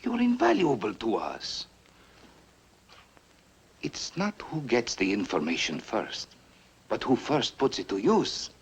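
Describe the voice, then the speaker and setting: An actor playing a menacing but smooth-talking foreign agent, formal and insinuating, in 1950s film style. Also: male voice, medium pitch, huge pitch swings, slow pace, long pauses, heavily acted. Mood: oily, placating. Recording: noisy old 1950s film soundtrack.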